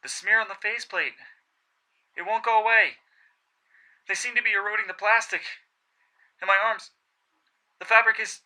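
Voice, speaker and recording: A woman's voice: medium pitch, normal speed, long pauses, pushed and bright, voice pushed up, low-quality recording. A man reading aloud, voicing a young woman diver who grows frightened and breathless in danger. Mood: panicked. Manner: breathless, gasping.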